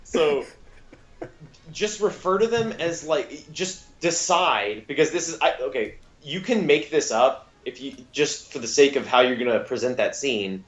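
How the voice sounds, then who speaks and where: A man co-hosting a podcast in casual, blunt chat, halting and repeating words emphatically, on slightly dull audio. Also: mid-range voice, lively intonation. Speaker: podcast co-host reviewing audio dramas. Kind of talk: casual, sweary review chat.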